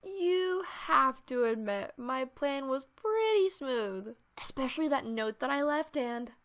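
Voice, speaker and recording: A woman voicing an excitable, eager but bashful young female pony in an animated fan series, with music behind her. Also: high-pitched, measured pace. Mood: sheepish.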